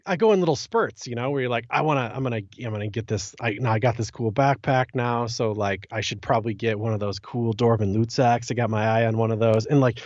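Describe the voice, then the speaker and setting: A man's voice: medium pitch, fast, few pauses, darkish tone, no room sound. A man co-hosting a podcast, musing informally in a loose, casual chat about a game. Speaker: podcast co-host. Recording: dull, slightly lo-fi dry audio.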